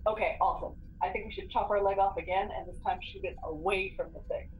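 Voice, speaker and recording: A woman's voice: mid-range voice, fairly even intonation, fast talker, soft background music. A woman talking casually as a player in a tabletop game, planning moves aloud. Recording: dull lo-fi mic, background noise.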